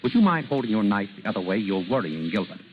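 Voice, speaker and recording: An actor playing a suave, wry American gentleman detective in 1940s radio drama style, urbane, droll and measured. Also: lowish voice, sing-song, over music. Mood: measured, accusing. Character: suave, wry, self-assured gentleman detective gathering suspects. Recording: dull, noisy vintage radio broadcast.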